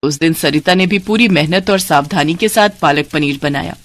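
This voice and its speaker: A woman's voice: deep voice, moderate pace. A woman reading a scripted story as its narrator.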